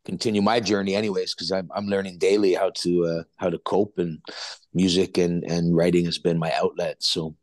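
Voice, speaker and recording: A man's voice: sits low, flat delivery, normal speed, a little mellow, dry recording. A middle-aged man from Canada, a musician guest talking earnestly and at length in an interview, often adding "man" and "you know". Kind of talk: candid interview conversation.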